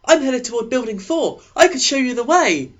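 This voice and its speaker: A man's voice: extremely high pitch, very animated, moderate pace, lo-fi sound, pitched above the performer's own voice. A man voicing a gangly, over-helpful, chirpy teenage boy in a mock audiobook. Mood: eager, hopeful. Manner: chirpy, over-eager, swooping. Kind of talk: mocking amateur audiobook character voice.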